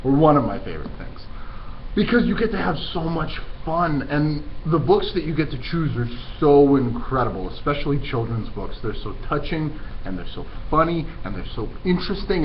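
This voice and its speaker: A man speaking as a teacher and podcast host, enthusiastic, emphatic and theatrical in his delivery. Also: mid-range voice. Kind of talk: enthusiastic talk and read-aloud demonstration. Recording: muffled recording with background noise.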